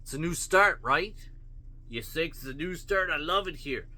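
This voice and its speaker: A man's voice: fairly high pitch, expressive, normal speed, sharp tone. A man ranting bluntly to his viewers, profane and full of rhetorical questions. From Canada. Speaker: vlogger. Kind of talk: opinion rant to camera.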